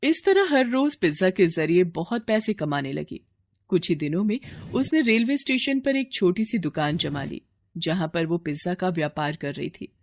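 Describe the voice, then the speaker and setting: A woman's voice: lowish voice, animated, moderate pace. A woman reading a scripted children's moral story as narrator.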